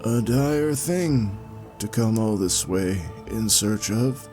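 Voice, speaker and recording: A man voicing an ancient, frail hermit mystic with a dry, croaking voice, speaking slowly and warily. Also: fairly low pitch, lively intonation, over music, voice pushed up. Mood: wary. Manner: slow, measured speech.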